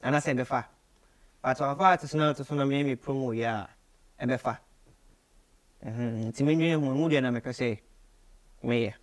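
A young man with a Ghanaian accent speaks at a medium pitch in an interview. He tells his story conversationally, circling back over phrases as he goes. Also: normal speed, long pauses. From Ghana, Kumasi. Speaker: musician guest. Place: small radio studio, indoors.

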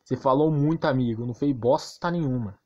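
A man's voice: medium pitch, fairly quick, warm tone, dull audio, a little hiss or hum. A man with a Brazilian accent giving a casual, ranting game commentary, slangy and crude, emphatic and repetitive with rhetorical questions. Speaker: gaming YouTuber.

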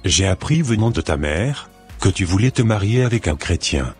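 A man's voice: sits low, expressive, normal speed, a little mellow, soft background music. A man voicing a stern, authoritarian, scolding father in a scripted cartoon. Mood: angry, stern.

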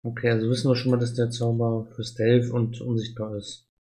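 A man's voice: medium pitch, monotone, a little fast, dark tone. A man streaming an adventure game, chatting casually and thinking aloud in a musing way. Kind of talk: casual chat while gaming, thinking aloud.

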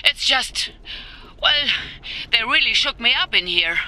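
A woman's voice: a little high, lively intonation, a little slow, pushed and bright, exaggerated voice, rough recording. An actress playing a cheeky, plucky young woman who works as a mech engineer in a video game. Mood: shaken. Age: young adult. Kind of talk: video game cutscene acting.

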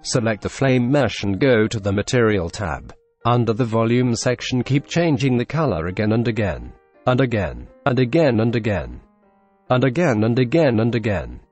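A young man with a Russian accent narrating a software tutorial in a deadpan, dryly absurd scripted voice-over. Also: mid-range voice, moderate pace, faint music behind. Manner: deadpan, dry absurd humor.

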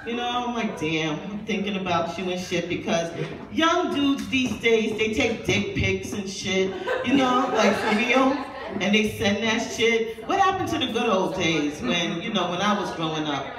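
A woman's voice: medium pitch, animated, normal speed. A woman in her fifties doing a raunchy stand-up set in a small club, brash and profane, talking casually with the crowd. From New York. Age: 50s. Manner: brash, profane, conversational, with frequent asides to the crowd. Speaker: stand-up comedian. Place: small dim club with a low stage. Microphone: handheld mic, filmed by a far camera in the crowd. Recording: roomy, distant club audio with loud crowd noise.

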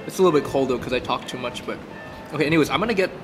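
A man's voice: mid-range voice, rapid-fire, in a large hall, music in the background. A young man hosting a travel vlog, joking and upbeat, filming himself with a handheld camera in a cafe. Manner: joking, upbeat, self-deprecating. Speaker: vlog host. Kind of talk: casual vlog chat. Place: cafe and shops in a city.

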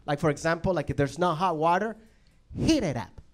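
A man in his thirties from Venezuela doing an animated stand-up set on a handheld mic. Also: on the high side, fairly quick, very noisy. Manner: animated, chatty, asks the crowd "right?". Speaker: stand-up comedian. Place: comedy club stage, indoors.

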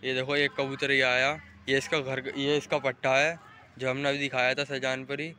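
Bright-voiced young man vlogging outdoors. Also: medium pitch, flat delivery, moderate pace, slight background noise. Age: young adult. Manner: chatty, directing friends, calling out to viewers. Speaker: vlogger showing pigeons. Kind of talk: casual vlog narration. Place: outdoors on a rooftop. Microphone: phone camera held in hand.

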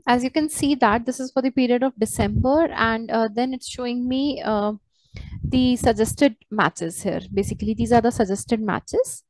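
High-pitched woman giving software tutorial. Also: normal speed, dry recording, poor audio. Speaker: software trainer. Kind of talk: screen-share software tutorial, unscripted walkthrough.

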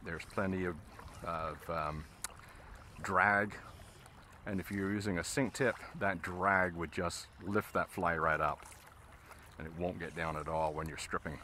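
Low-voiced man teaching casting on a river. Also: measured pace, slight background noise. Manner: relaxed, explains steps as he casts. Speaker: fly fishing instructor. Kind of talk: casual instruction while fishing. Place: outdoors on a river, in a boat.

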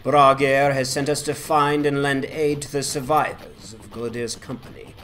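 A man's voice: medium pitch, steady tone, normal speed, fairly bright tone. A man giving scripted narration of a fantasy quest in the role of the traveling hero. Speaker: narrator and player character.

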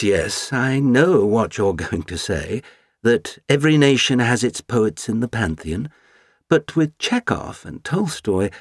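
A man's voice: mid-range voice, husky, no room sound, clean studio sound, voice pushed up, heavily acted. A man voicing an eloquent, gallant Russian count who speaks in florid, theatrical oratory.

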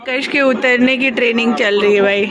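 A woman's voice: fairly even intonation, fairly quick, over a noisy crowd. A woman with an Indian accent doing casual family travel vlog narration and chat, pointing things out to viewers. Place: inside a moving train. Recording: noisy, crowded train carriage with vehicle rumble.

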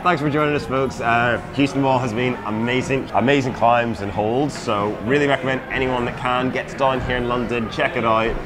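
A man's voice: mid-range voice, fairly even intonation, normal speed, hardly any pauses. A man in his twenties chatting casually as a vlog host in a large indoor climbing gym, picked up by a camera. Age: 20s.

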